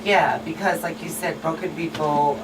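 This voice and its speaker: A woman guest talking casually on a radio show in an earnest, somewhat halting way. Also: low voice, steady tone, far from the mic. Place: radio studio. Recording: noisy room, off-mic.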